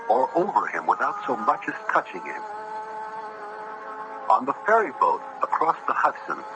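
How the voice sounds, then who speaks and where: An actor playing an earnest, compassionate young judge who tells a ghostly tale in formal, solemn radio drama style. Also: male voice, mid-range voice, natural voice. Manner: formal, literary, solemn storytelling. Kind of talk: 1970s radio drama narration and acting. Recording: noisy vintage radio broadcast recording.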